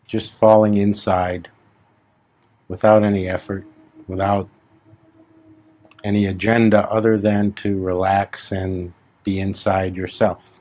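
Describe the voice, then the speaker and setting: Lowish-voiced man guiding a meditation. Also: flat delivery. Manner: unhurried, with long pauses between short phrases; gently instructive, repetitive soothing phrasing. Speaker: spiritual teacher leading meditation. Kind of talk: guided meditation.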